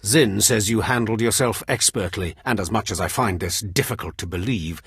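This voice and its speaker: Cruel Sith overseer, very raspy, low-pitched, haughty, sneering, menacing, video game villain. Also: male voice, normal speed, fairly bright tone, no echo, studio quality, heavily acted. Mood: stern, commanding. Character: cruel, contemptuous Sith overseer drilling slave apprentices. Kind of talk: scripted game voice acting.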